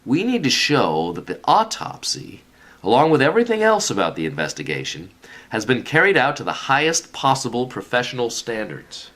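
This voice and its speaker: A man playing a scheming, authoritative senior commission boss in a radio sitcom, pompous and deliberate. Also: mid-range voice, wildly swooping pitch, fairly bright tone. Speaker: actor in a radio play. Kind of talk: sitcom-style radio comedy acting.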